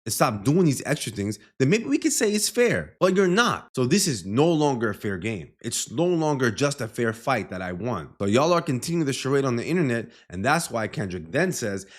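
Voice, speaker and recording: Animated man breaking down lyrics. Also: mid-range voice, normal speed, few pauses. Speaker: music commentator and host. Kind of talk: explainer commentary breaking down rap lyrics.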